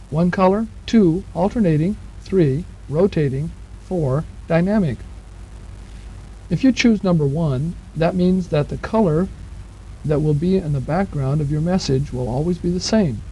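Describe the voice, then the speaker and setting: A man's voice: fairly high pitch, mellow. A man narrating step-by-step instructions in a patient, plain-spoken, explanatory way, on an old 1970s cassette recording. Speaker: instructor narrating a program manual. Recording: muffled 1970s cassette tape with hiss.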